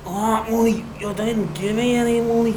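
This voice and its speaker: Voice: mid-range voice, steady tone, moderate pace, natural voice. An actor playing a wheedling, cowardly street chancer in a drama, with an Irish Dublin accent and a colloquial, pleading way of talking. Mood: evasive. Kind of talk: acted dramatic sketch. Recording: echoey, noisy recording with ambience.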